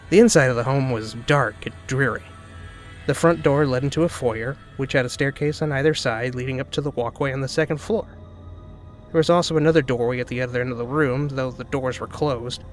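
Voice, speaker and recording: A man giving a dramatic narrated reading of a spooky first-person story. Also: mid-range voice. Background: background music.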